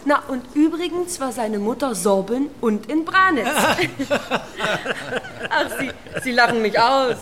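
A woman's voice: sits high, expressive, slow, fairly bright tone, heavily acted. An actress playing a joyful young art historian and new mother in a radio drama, effusive and full of short exclamations. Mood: proud, amused. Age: young adult. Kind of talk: conversational radio drama acting.

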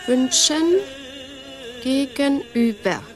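A woman's voice: high-pitched, lively intonation, very slow, music in the background, full character voice. An actress playing a curious woman in a radio play who keeps echoing and probing what she hears. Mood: inquisitive. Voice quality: echoing, inquisitive.

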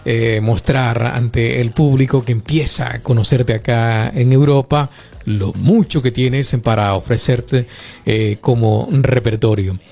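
A man hosting a conversational interview, courteous and measured, pausing often as he frames his questions. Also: mid-range voice, creaky, a little mellow. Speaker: radio or show interviewer.

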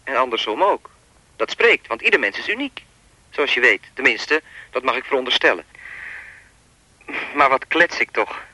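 An actor playing a sinister, persistent phone stalker in a Dutch radio play, talking in a pompous, wordy, coolly controlling way. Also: male voice, medium pitch, animated, moderate pace, pauses often, sharp tone, dry recording, rough recording. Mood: smug, musing.

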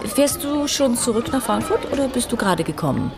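An actress playing a composed, courteous and probing widow in a radio crime drama, speaking naturally with slight hesitations. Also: female voice, fairly high pitch, animated, brisk, over music, a crowd in the background. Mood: polite, guarded. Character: composed, polite, probing widow, guarded and well-mannered.

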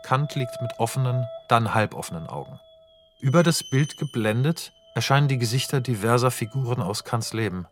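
Guy reading stage directions for a radio play, steady and even, plain mid voice, faint music behind. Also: medium pitch. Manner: measured, even, descriptive. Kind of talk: scripted narration.

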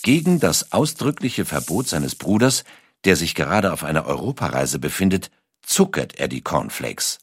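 A man's voice: sits low, husky, no room sound, studio quality. A man reading scripted documentary narration as a narrator.

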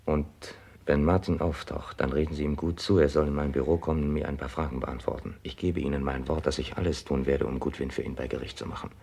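A police inspector in a mid-century crime radio drama. His voice is very low, gravelly and husky. He is calm, methodical and quietly persuasive. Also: fast, few pauses, exaggerated voice. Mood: measured, persuasive. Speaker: actor in a crime radio play.

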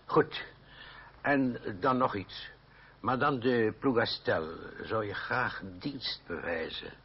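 An actor playing a gruff but soft-hearted old aristocratic godfather in a radio play, grumbling and hesitant in a paternal way. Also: medium pitch, takes it slowly. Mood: mollified. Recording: muffled old radio play, faint noise.

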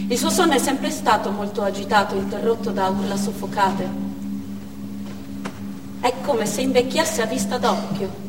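A woman's voice: mid-range voice, normal speed, pauses often, close to an everyday voice. An actress playing a stern Chechen woman hostage-taker, narrating in a dramatic stage monologue. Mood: tense. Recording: echoey theatre hall, far mic, noisy.

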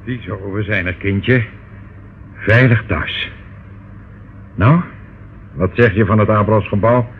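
Low, smooth-talking devious uncle, tinny recording. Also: male voice, slow pace, pauses often. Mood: smug reassurance. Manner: glib, reassuring, condescending. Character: smooth, devious older uncle, soothing and patronising. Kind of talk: radio drama acting. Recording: phone-like, noisy old radio play recording.

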